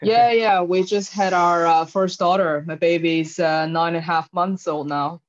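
A woman's voice: fairly low pitch, fairly even intonation, measured pace, muffled recording. A woman in her thirties from China, answering interview questions concisely with some hesitant fillers. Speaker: interview guest, tech leader.

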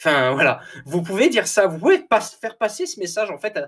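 A man talks straight to his podcast listeners in a loose, animated monologue, with a slightly raised voice. He laughs often, stumbles over words and restarts them, and sounds a little tired. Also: sits high, brisk, slightly rough. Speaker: podcast host. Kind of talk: casual motivational podcast monologue.

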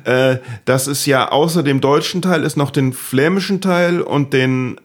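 A man's voice: medium pitch, a little slow. A man hosting a comedy podcast in casual chat, playful, repeating words for comic effect. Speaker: podcast host.

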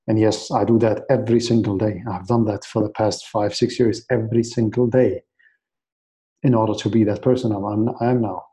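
A man's voice: on the low side, fairly even intonation, fairly quick, warm tone, poor audio. A man in his late twenties, originally from Iraq, talking earnestly in an interview and often restarting his words. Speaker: guest. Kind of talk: casual interview.

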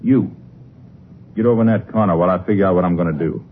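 An actor playing a surly, suspicious, bullying outlaw in a 1950s radio crime drama, gruff and domineering in rough rustic speech. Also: male voice, low-pitched, fast talker. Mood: angry, threatening. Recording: narrow, tinny old radio broadcast.

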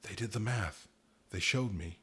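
A man's voice: on the low side, a little slow, bright, forceful tone, slightly rough, full character voice. A man voicing a frightened, guilt-ridden factory boss who speaks in a choked, tearful voice. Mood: choked, guilty.

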